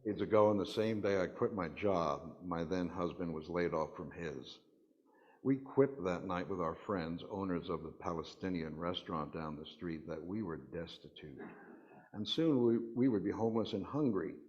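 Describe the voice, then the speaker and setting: Deep-voiced man reading, dull recording. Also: normal speed, darkish tone. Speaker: congregation member reading a vignette. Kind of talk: reading a personal reflection aloud at a worship service. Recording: dull, muffled lo-fi sound.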